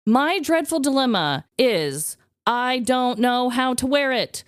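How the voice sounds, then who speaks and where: A woman co-hosting a podcast in casual, comedic chat, playful and expressive. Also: high-pitched, slow, clean tone, dry recording, studio quality. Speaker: podcast co-host.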